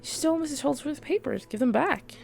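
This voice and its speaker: A woman's voice: high voice, animated, a little fast, gravelly, darkish tone, soft background music, pitched above the performer's own voice. A woman voicing an earnest young heroine from a fantasy video game, speaking with urgency. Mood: indignant.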